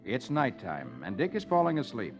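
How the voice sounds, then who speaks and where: An actor playing a jolly comics-reading friend to children, in a theatrical 1950s radio storytelling style. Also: male voice, medium pitch, normal speed. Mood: animated storytelling. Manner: jolly, animated. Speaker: radio host and comics reader. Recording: lo-fi old radio, loud music behind.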